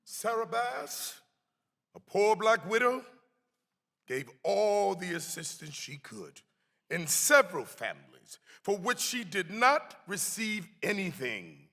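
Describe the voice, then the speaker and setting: A man giving a dramatic reading of a historic account, theatrical and deliberate, with swelling emphasis. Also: sits high, big pitch swings, sharp tone. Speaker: actor reading aloud. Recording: long hall reverb.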